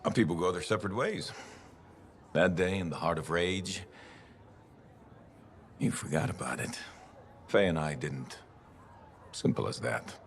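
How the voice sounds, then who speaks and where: Middle-aged male veteran, deep voice, expressive swings, gruffly wry, guarded, video game. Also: unhurried, long pauses, slight background noise. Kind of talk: naturalistic video game acting.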